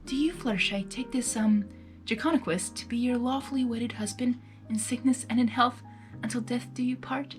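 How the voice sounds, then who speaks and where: A woman's voice: a little high, moderate pace, natural voice. A woman voicing a solemn, regal female alicorn pony in a formal, ceremonious manner, in a story reading. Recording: music behind the voice.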